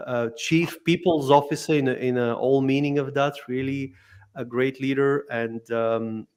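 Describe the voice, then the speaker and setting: A man's voice: medium pitch, clear, resonant tone. Hesitant male podcast host. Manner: hesitant, many fillers and restarts. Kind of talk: interview podcast.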